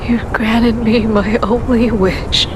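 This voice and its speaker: An actress playing a frail, deluded, doting mother in a video game, with a breathy, half-whispered, halting delivery. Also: fairly high pitch, steady tone, background noise. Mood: blissful, grateful. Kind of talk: dramatic video game cutscene acting.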